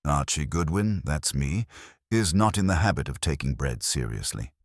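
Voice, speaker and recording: An extremely deep male voice narrates detective fiction in the first person. The delivery is wry and hard-boiled with lively intonation, and the sound is close and dry. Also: moderate pace, no room sound. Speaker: audiobook narrator.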